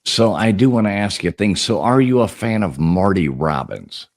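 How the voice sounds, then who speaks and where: A man's voice: lowish voice, animated, normal speed. A man hosting a casual live chat, teasing his guests with jokes. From not from Texas. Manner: joking, teasing banter. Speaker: host.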